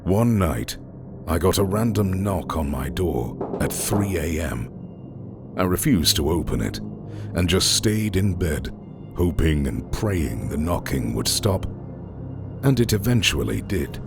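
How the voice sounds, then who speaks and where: Very deep gravelly man narrating horror. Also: big pitch swings, a little slow. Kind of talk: scripted horror storytelling narration. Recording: music under the voice. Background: background music.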